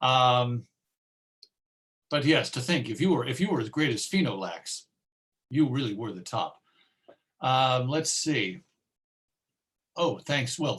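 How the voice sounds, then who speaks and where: A man presenting an informal online talk and answering questions in a hesitant, musing way, often pausing mid-phrase. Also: mid-range voice, a bit bright. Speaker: presenter and old-time radio collector.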